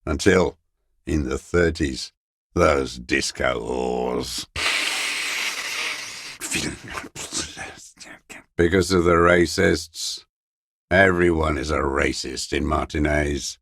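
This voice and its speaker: An actor playing a bitter old communist deserter in a video game, brooding and ranting with contempt. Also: male voice, very deep voice, slow pace, creaky, fairly bright tone, exaggerated voice. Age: older. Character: bitter old deserter, die-hard communist soldier, male. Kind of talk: video game character dialogue.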